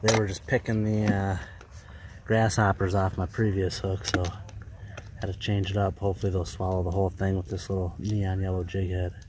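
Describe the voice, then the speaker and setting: A man's voice: deep voice, flat delivery, moderate pace, darkish tone, slight background noise. A man in his thirties casually narrating a fishing trip, folksy and chatty, out on a lake in a kayak. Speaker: fishing vlogger. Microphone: action camera.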